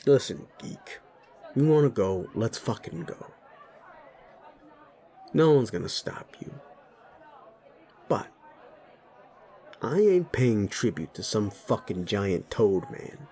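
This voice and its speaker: A man voicing a brash werewolf bully boss in an audio roleplay, gravelly, swaggering and blunt, spoiling for a fight. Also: fairly low pitch, big pitch swings, takes it slowly, pauses often, mellow, some chatter behind. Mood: defiant.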